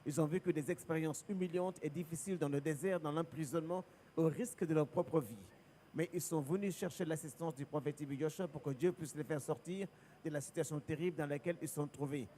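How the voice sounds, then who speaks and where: A man interpreting a church broadcast live, with a clear announcer delivery. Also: on the high side, quick pace, warm tone, slight background noise. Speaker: French interpreter.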